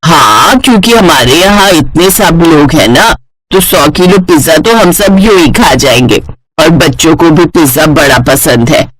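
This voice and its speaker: A woman's voice: deep voice, no echo, low-quality recording. A woman voicing a bossy middle-aged mother-in-law in a cartoon, commanding and matter-of-fact.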